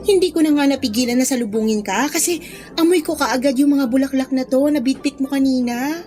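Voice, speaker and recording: A young Filipino woman, played by an actress as the gushing best friend in a radio drama. She is exclamatory and easily thrilled, with a high voice over background music. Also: brisk, mellow, lightly acted. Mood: curious.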